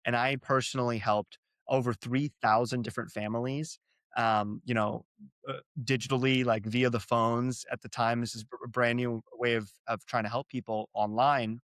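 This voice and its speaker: A young man from Arizona, a native Gujarati speaker, tells his personal story as an interview guest. He talks earnestly and reflectively, often repeating words while he gathers his thoughts. His voice sits at a middle pitch, and the recording is dry and close. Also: steady tone, moderate pace, a bit bright, no echo. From Arizona, USA. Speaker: company co-founder, guest.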